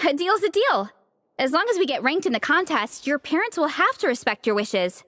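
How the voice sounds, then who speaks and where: A woman voicing a cheerful, encouraging young comic fan in a video game, animated and upbeat. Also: high voice, a little fast, no echo, big-room sound.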